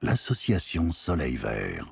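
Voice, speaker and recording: A man's voice: a bass voice, wildly swooping pitch, slow, creaky, noisy background. A man announcing a radio series in a scripted intro style. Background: music.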